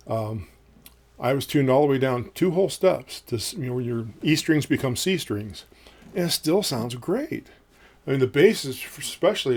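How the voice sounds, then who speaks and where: A man in his fifties with a low voice, reviewing a guitar in casual, rambling talk full of ums, recorded in a small home studio. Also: darkish tone. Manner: rambling, folksy, many ums and uhs.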